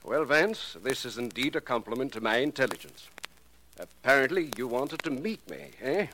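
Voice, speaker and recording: An actor playing a suave criminal professor in a 1940s radio drama, speaking formally with mocking courtesy and quiet menace. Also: male voice, mid-range voice, big pitch swings, normal speed, a little hiss or hum, low-quality recording. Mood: mockingly courteous. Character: suave, self-assured criminal professor, an extortionist.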